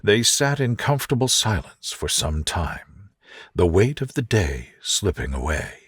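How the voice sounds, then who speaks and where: Slow, husky man reading a sleep story. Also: lowish voice, big pitch swings, dry recording, clean studio sound. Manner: soft, gentle, unhurried. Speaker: narrator, reader. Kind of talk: soothing sleep-story narration.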